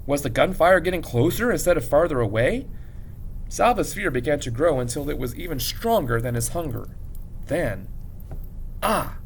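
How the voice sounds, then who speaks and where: Guy reading a story out loud teacher style, gravelly creak in his voice, dramatic pauses, bit of background rumble. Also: expressive. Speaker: English teacher reading aloud to a class. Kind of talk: expressive audiobook-style story narration.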